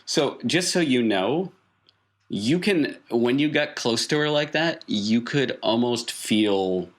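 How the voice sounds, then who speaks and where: A man narrating a tabletop game as game master, casually improvising and often pausing to think aloud. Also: mid-range voice, expressive.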